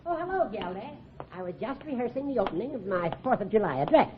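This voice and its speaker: Fussy older judge, high, swooping oratorical voice, muffled 1940s radio comedy recording. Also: male voice, big pitch swings, quick pace, slight background noise. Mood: pompous. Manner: oratorical, formal.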